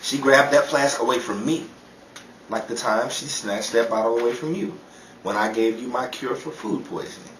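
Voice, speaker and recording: An actor playing a dim, cheeky magician pal in a 1930s radio comedy, blustering theatrically through wisecracks. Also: male voice, medium pitch, normal speed, lightly acted. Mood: flustered defensive. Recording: roomy, distant, noisy, audience sounds behind.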